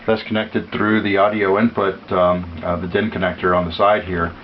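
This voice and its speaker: Man, lowish voice, matter-of-fact seller demo, frequent uhs, dull lo-fi sound. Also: flat delivery, a little hiss or hum. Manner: hesitant, halting delivery with frequent uhs. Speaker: seller demonstrating equipment. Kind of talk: unscripted product demonstration. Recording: dull lo-fi audio, music behind. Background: music played from demonstration equipment.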